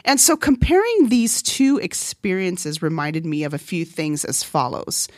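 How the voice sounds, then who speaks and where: A woman's voice: lowish voice, expressive, measured pace, no echo, clean studio sound. A middle-aged woman from Guam, whose first language is Korean, hosts a podcast and tells a personal story in a chatty way, laughing often. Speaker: podcast host.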